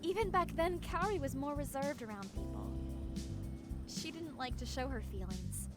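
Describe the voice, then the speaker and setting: High-voiced schoolgirl, cheerful and friendly with a regretful side, anime game delivery, loud music underneath. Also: lively intonation, moderate pace. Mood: wistful, excited. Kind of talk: anime-style visual novel game acting.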